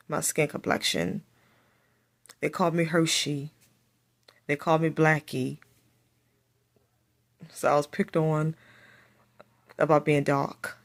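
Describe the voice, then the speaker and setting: A woman who speaks with a stutter, telling a personal story to camera and repeating her phrases as she goes. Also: low voice. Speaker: vlogger.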